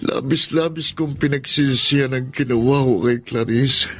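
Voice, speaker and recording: An actor playing a remorseful man in a radio drama, pleading in a halting, regretful way with his former lover. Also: medium pitch, lightly acted. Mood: anguished longing. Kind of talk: Filipino radio drama acting. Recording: music behind the voice.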